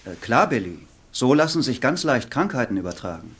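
A man's voice: medium pitch, sing-song, fairly quick, a bit bright, breathy edge, heavily acted. A man playing a patient, good-natured schoolteacher in a children's audiobook comedy, speaking in a hesitant, questioning classroom way. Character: patient, good-natured male schoolteacher fielding pupils' jokes. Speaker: teacher in an audiobook sketch.

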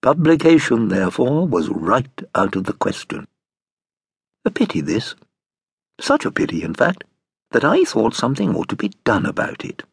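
Urbane British storyteller, swooping expressive pitch, creaky husky voice, theatrical, amused, audiobook narration. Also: male voice, dry recording, exaggerated voice. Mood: amused relish, then confiding. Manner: relishing, theatrical, deliberate phrasing. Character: urbane, amused nephew narrating his uncle's legacy.

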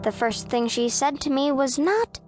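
A woman's voice: high-pitched, animated, normal speed, darkish tone, soft background music. A woman voicing a proud, self-possessed eleven-year-old girl who narrates a children's audiobook in a precise, composed, matter-of-fact way. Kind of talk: first-person audiobook narration of children's fiction.

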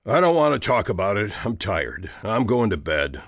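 A man voicing a gruff, sly frontier husband in a low, drawling voice. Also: big pitch swings, moderate pace, dry recording, clean studio sound.

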